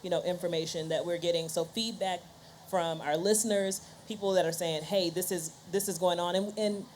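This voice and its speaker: A woman's voice: on the low side, steady tone, fairly quick, a little mellow, a little hiss or hum. A woman speaking conversationally as a panelist in a discussion. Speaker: panelist, podcast host and scientist.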